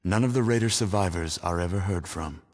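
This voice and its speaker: A man playing a grave storyteller who narrates the fate of a post-apocalyptic world in a solemn, measured, portentous manner for a video game. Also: low-pitched, a little mellow, natural voice. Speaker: narrator of a game's endings. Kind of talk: scripted dramatic narration of an epilogue. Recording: long reverberant hall echo.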